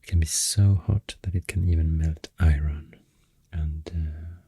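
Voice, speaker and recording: A man with a Swedish accent speaking in a soft, breathy near-whisper, rambling in an unhurried, hesitant ASMR explanation. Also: extremely low pitch, steady tone. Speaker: ASMR creator. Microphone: close-up ASMR microphone.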